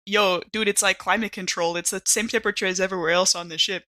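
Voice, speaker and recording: A woman's voice: mid-range voice, steady tone, fast talker, bright, forceful tone, natural voice. A woman playing a firm, casual ship medic in a tabletop game, reassuring with some exasperation in slangy speech. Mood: firm, exasperated.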